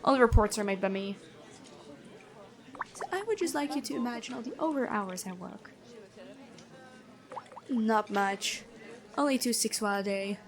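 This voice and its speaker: A woman playing a gentle but stern, bookish female prison guard in a fantasy ASMR roleplay, chatty and inquisitive. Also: on the high side, expressive, normal speed, pauses often, crowd noise. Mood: chatty, wistful. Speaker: ASMR voice actor.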